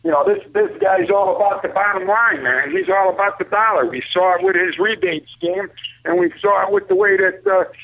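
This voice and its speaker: A man's voice: sits high, a little fast, creaky, dry recording. An American man giving emphatic, opinionated sports commentary in a casual, colloquial way, repeating himself as he makes his points. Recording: muffled lo-fi phone-like radio sound.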